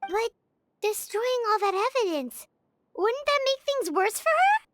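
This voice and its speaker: A woman's voice: very high-pitched, big pitch swings, measured pace, noisy background, full character voice. A woman voicing a tiny, childlike, outspoken companion creature in a video game, chirpy, blunt and inquisitive. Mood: puzzled.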